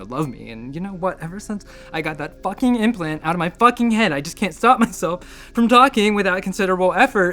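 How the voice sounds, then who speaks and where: A man's voice: a little high, fairly bright tone, natural voice. An actor playing an irritable, sarcastic, talkative male doctor in command of a space station in a sci-fi audio drama. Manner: wry, sarcastic, exasperated, talkative. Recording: audio drama with music underneath.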